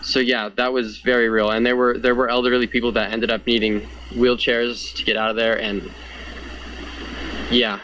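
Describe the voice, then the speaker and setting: A man's voice: medium pitch, flat delivery, moderate pace, a bit bright, rough recording. A young man giving an earnest, conversational personal account in an interview, often saying "like" as he goes. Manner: earnest, conversational, frequent 'like'. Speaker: fire survivor being interviewed. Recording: clear background noise.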